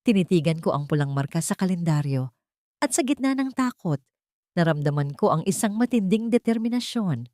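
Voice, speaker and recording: Low-voiced Filipino woman narrating a story. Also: lively intonation, normal speed, dark tone, dry recording, studio quality. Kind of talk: scripted dramatic first-person narration.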